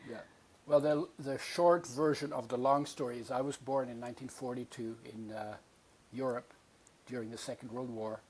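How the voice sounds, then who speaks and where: Older guy in his 80s from poland, medium-pitched voice, tells stories with a lot of life, slight hiss behind him. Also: moderate pace, rough recording. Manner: animated storyteller, acts out remembered voices. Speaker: guest. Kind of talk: storytelling in a podcast interview.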